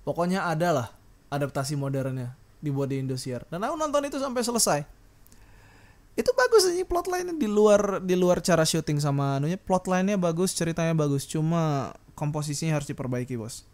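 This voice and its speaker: A man's voice: on the high side, fairly quick, a little mellow. A man with an Indonesian accent delivering a casual, unscripted podcast rant in a blunt, rambling, slangy way with some mild swearing. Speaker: solo podcast host. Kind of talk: unscripted casual ranting monologue.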